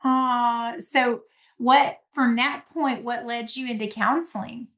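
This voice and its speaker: A woman's voice: a little high, steady tone, slow, a bit bright. Host woman in an echoey room. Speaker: podcast host. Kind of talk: conversational interview. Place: Central Texas.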